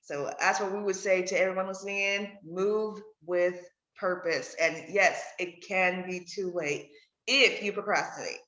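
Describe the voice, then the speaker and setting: A woman's voice: fairly low pitch, fairly even intonation, measured pace, a bit bright. A woman hosting a conversational interview podcast, readily agreeing with and echoing what her guest says. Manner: affirming, echoes and agrees with guest. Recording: dull audio in echoey room.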